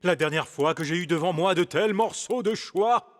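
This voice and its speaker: A man's voice: sits high, moderate pace, lightly acted. A man playing a chatty, pompous bird courtier with formal, old-fashioned speech in a cartoon. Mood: pompous, fussy. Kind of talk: French cartoon dub acting.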